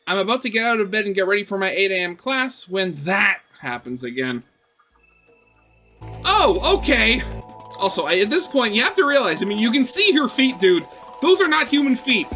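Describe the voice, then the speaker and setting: A man reading a visual novel aloud as a gaming host, expressive, mixing dramatic narration with informal asides. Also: high voice. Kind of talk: dramatic story narration with casual commentary.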